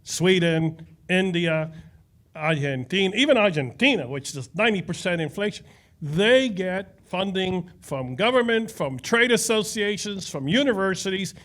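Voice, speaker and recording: A man's voice: sits high, lively intonation, fairly bright tone. Rambling older man asking from the audience. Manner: rambling, halting with repeated words. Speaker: audience member. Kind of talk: audience question at a panel.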